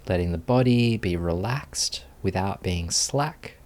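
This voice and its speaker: A man leading a guided meditation as a teacher, speaking calmly and soothingly with long pauses. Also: low voice.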